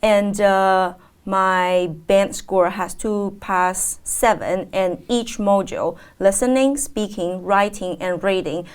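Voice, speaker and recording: A woman in her thirties, raised in China, speaks English as a second language in a TV interview. She answers at a middle pitch, in a thoughtful and unhurried way, stopping often to gather her words. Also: clear, resonant tone. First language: Chinese. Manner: thoughtful, many fillers and pauses. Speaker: guest, teacher.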